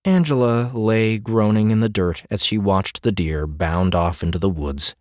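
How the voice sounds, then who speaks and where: A man's voice: on the low side, measured pace, a little mellow, dry recording, studio quality. A man narrating a fantasy audiobook as a scripted story reading.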